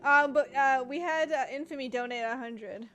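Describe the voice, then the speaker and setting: A high-voiced woman commentates on a game live as she streams. She is informal, playful and reactive, with music playing behind her. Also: moderate pace, fairly bright tone. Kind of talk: live gameplay commentary, casual chat.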